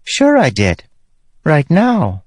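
A man's voice: a little high, huge pitch swings, takes it slowly, slightly rough, no room sound, studio quality, pitched above the performer's own voice, heavily acted. A man voicing a vain, childlike female spirit in a small voice for a fantasy audiobook. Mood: cheeky, defensive.

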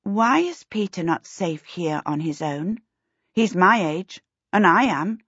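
A woman's voice: fairly low pitch, lively intonation, slow, fairly bright tone, slightly rough, no room sound, lo-fi sound, exaggerated voice. A woman voicing a polite, self-doubting teenage girl in an audiobook, earnest and hesitant. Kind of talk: audiobook character voice.

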